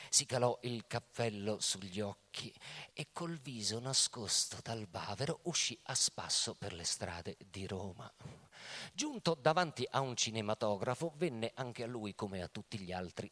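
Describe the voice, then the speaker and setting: A man giving a deliberate, dramatic scripted narration in a radio theatre piece. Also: fairly low pitch, a bit bright. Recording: music playing behind the voice. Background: music.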